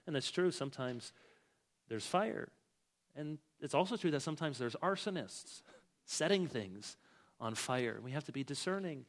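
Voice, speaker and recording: A middle-aged male pastor preaching an expository sermon, swinging between loud, stressed phrases and quiet asides. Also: mid-range voice, animated, normal speed. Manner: emphatic, with big swings in loudness.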